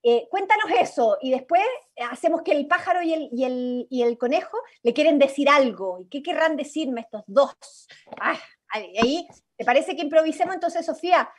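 High-pitched Chilean woman coaching actors. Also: lively intonation, normal speed. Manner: encouraging, directing. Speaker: workshop facilitator and director. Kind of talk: improvised coaching in an online drama workshop. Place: indoors at home, on a video call. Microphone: video call.

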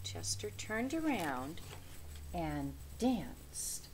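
A mature woman narrates a picture book for children. Her delivery is slow and deliberate, with big pitch swings. It is a home webcam recording with faint noise. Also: poor audio. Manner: expressive, deliberate. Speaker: storybook reader. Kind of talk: children's read-aloud narration. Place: small indoor room with bookshelf.

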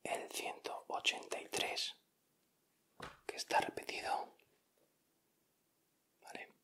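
A young man with a Castilian Spanish accent hosts in a whispered ASMR style. His whisper is crisp and bright, and he takes his time, speaking very slowly and directly to his viewers. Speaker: host of an ASMR channel.

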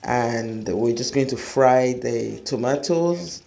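A woman's voice: rumbling low, slow pace, gravelly, dark tone. A middle-aged woman hosting a home cooking demonstration, explaining each step to viewers in her kitchen. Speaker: cooking show host.

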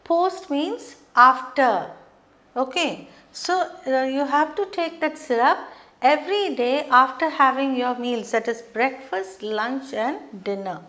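Lady teaching at a whiteboard, high voice, talks slow, lots of okay. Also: a bit bright. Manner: explains slowly, checks with frequent okay. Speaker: English teacher. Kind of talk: whiteboard English lesson. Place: studio classroom with whiteboard.

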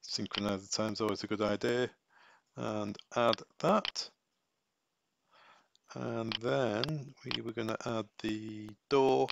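A man giving a casual step-by-step software tutorial, thinking aloud as he works. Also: lowish voice, steady tone, slow pace, vocal fry, background noise.

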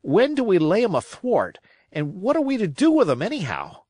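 A man reading a storybook, voicing an eager young sailor lad among pirates, questioning in rough sea talk. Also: high voice, wildly swooping pitch, normal speed, darkish tone, no echo, studio quality, heavily acted.

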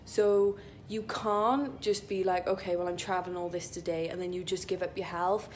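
A woman's voice: lowish voice, steady tone, moderate pace, darkish tone, a little hiss or hum. A young woman talking in a casual, chatty, rambling vlog style into a phone held at arm's length, peppering her speech with "like". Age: young adult. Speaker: vlogger, IT consultant. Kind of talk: casual vlog chat to camera. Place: indoor gym locker room.

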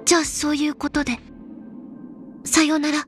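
A woman voicing an aloof young female snowfield explorer in a game, speaking low-key and softly deadpan. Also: normal speed, soft background music, natural voice. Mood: laconic. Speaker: game character voice. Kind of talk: game voice lines, Japanese dub.

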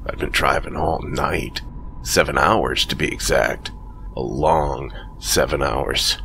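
A man narrating a first-person horror story in a slow, brooding scripted read. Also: very deep voice, creaky, bright, forceful tone, a little hiss or hum.

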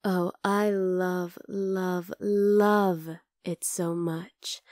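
Casting: actress as young wolf-girl roommate for ASMR roleplay, fond teasing with tender exasperation, unhurried delivery, close dry mic. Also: lowish voice, level tone, darkish tone, no room sound. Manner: casual, exasperated but tender, sighing. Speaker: voice actor in audio roleplay. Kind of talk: ASMR roleplay, acted one-sided dialogue.

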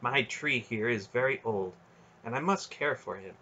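A man voicing a gentle female dryad queen with soft, kind, measured speech. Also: medium pitch, breathy edge, muffled recording. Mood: fond, calm.

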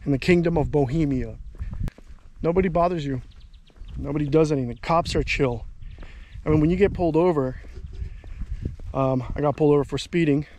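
A man's voice: on the high side, fairly quick, pauses often, warm tone, a little hiss or hum. A man in his thirties casually vlogging outdoors while walking along a country road, rambling and thinking aloud. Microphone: handheld camera at arm's length.

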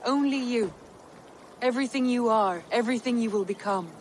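A woman playing a composed, tender Viking noblewoman in a video game, speaking in measured, earnest, formal phrases. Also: fairly high pitch, a little hiss or hum, lightly acted. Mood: tender, sincere. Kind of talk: dramatic video game cutscene acting.

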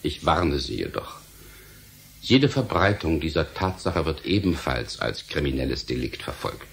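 An actor playing a stern, menacing government official in a German radio play, speaking in a formal, measured, authoritative way. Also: male voice, extremely low pitch, very animated, creaky, heavily acted. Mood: formal, self-assured. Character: stern, menacing male government official guarding forbidden science. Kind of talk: German radio drama acting.

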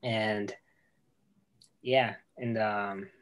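A young man with an Italian accent speaks very slowly in a relaxed video call chat. He pauses often and searches for words as a guest explaining recipes. He is heard through a webcam from a room at home. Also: on the low side, clean tone. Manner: hesitant, many fillers and restarts. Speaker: guest cook.

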